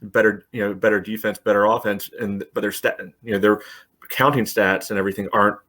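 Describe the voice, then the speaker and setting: A man with a low voice arguing soccer numbers on a podcast. He pushes back with stats, rephrasing as he goes, and peppers his points with "you know.". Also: steady tone, brisk, fairly bright tone. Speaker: podcast co-host. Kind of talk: casual sports debate.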